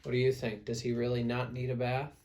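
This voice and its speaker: A man's voice: medium pitch, completely flat delivery, darkish tone. A man reading a picture book aloud to children as a storyteller, with dramatic emphasis. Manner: dramatic emphasis, pauses to prompt listeners.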